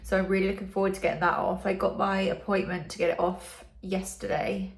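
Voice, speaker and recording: A woman's voice: medium pitch, level tone, fairly quick, gravelly, echoey room. Stuffy-nosed young woman chatting. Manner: chatty, lots of ums and likes. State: congested, stuffy nose; out of breath from mouth breathing. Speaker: vlogger. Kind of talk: casual video diary.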